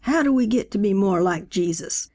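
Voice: mid-range voice, lively intonation, moderate pace, darkish tone. A narrator voicing a fervent elderly male preacher who fires rhetorical questions at a funeral gathering. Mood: preaching. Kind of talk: audiobook character voice.

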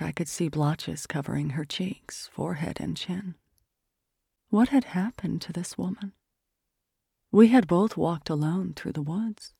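A narrator reading a first-person literary story in a hushed, often whispered, measured manner. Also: mid-range voice, vocal fry, husky, no room sound, studio quality. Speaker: audiobook narrator.

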